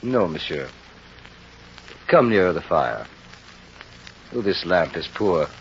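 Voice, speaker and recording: An actor in an old radio drama playing a kindly, devout priest with a gentle, measured, dignified manner. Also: male voice, low-pitched, very animated, heavily acted. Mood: gentle, serene. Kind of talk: 1930s-40s radio drama acting. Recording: dull, noisy old radio recording.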